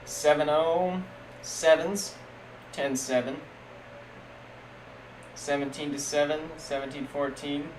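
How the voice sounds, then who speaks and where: A young man streaming casual, jokey play-by-play of a simulated football league, getting loud on big plays. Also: on the high side, steady tone, slow, pauses often. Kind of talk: live-stream game commentary. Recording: echoey room with faint background noise.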